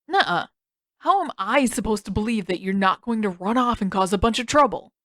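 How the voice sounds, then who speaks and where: A woman's voice: mid-range voice, expressive, a little fast, dry recording, studio quality, close to an everyday voice. A woman voicing an indignant, stubborn teenage boy in an audiobook story, accusing and exclamatory. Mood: suspicious.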